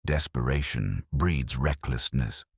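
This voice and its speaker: A man reading as a deep, shrewd Victorian detective. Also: takes it slowly, husky, dry recording, clean studio sound, heavily acted. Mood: commanding, strategic.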